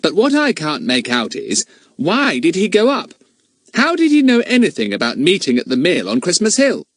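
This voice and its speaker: A man's voice: high voice, wildly swooping pitch, moderate pace, dry recording, studio quality, voice pushed up, exaggerated voice. A man reading aloud and voicing a confident, clever boy who asks pointed questions. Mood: puzzled. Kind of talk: audiobook character voice.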